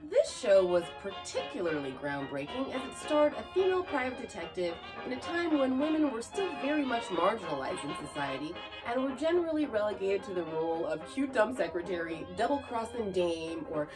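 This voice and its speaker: A woman's voice: mid-range voice, sing-song, fairly quick, few pauses, rough recording. A woman hosting a podcast, reading scripted narration with chatty asides. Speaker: podcast host. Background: music.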